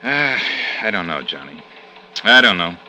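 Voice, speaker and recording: An actor playing a brisk, matter-of-fact male homicide lieutenant in a 1950s radio drama. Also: lowish voice, big pitch swings, pushed and bright, heavily acted, low-quality recording. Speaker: police lieutenant.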